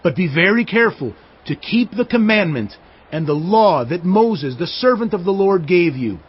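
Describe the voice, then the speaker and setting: An elderly Israelite leader addresses his people in a scripture drama. The voice is high and husky, solemn and emphatic in its commands. The recording is slightly dull. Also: lively intonation, moderate pace. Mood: solemn exhortation.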